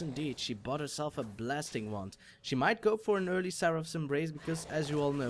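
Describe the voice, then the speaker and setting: Man shoutcasting a game replay. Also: mid-range voice, slight background noise. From Belgium. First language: not English. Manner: jokey, self-mocking, rises sharply on exciting plays. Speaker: esports shoutcaster. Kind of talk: solo game commentary over a replay.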